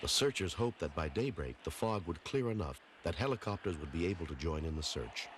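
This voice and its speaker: A man's voice: low voice, expressive, brisk. A man reading scripted narration for a rescue documentary. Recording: loud noise behind narration.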